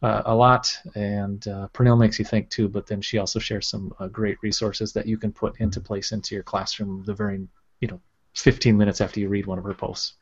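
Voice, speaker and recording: A man's voice: lowish voice, fairly quick, breathy edge. A middle-aged man, a school administrator, talking steadily in a casual interview with many fillers. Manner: steady, filler-heavy with many "you know"s. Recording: dull lo-fi sound.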